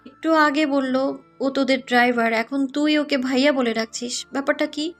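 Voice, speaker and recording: A woman acting as a pert young college girl in an audio drama. Her voice is high, and she is nosy and questioning, with faint music behind her. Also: steady tone, normal speed, natural voice. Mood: suspicious, teasing.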